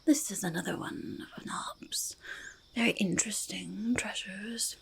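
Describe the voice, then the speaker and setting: A woman voicing a quirky, stubborn goblin who loves shiny treasures, in a halting, breathy, creaky ASMR whisper, speaking in third person. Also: medium pitch. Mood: curious showing off. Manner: halting, childlike third-person speech, easily argumentative. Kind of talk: soft-spoken ASMR roleplay, mostly whispered.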